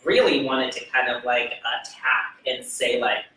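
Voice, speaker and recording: A Brazilian man with a New York-tinged accent, hosting a casual cast discussion with rambling, animated stories. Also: medium pitch, unhurried. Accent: Brazilian, New York influenced. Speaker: interviewer and film writer. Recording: echoey room, mic at a distance, faint noise.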